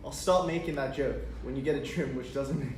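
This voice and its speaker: A man's voice: medium pitch, brisk, a little mellow, lightly acted. A man plays a cocky teenage lad who teases and goads his friend with sarcastic banter. It is a stage play read-through, picked up from a distance in a roomy space with faint noise. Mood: teasing. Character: cocky teasing teenage schoolboy, pushy best friend.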